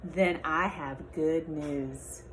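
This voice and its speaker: A calm storybook animal friend, played by a woman, states things plainly and very deliberately. The delivery is slow and matter-of-fact, with faint noise in the background. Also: deep voice, voice pushed down, rough recording.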